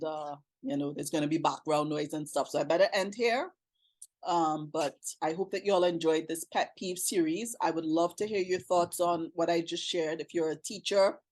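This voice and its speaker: Hoarse woman, lowish voice. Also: darkish tone. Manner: reflective, talks in short stop-start phrases. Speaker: vlogger. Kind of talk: casual monologue.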